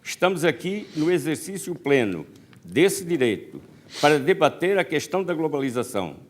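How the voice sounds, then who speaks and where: An older man with a Brazilian Portuguese accent, co-moderating a panel with a deliberate, rhetorical speaking style. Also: on the high side. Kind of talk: formal speech and questioning.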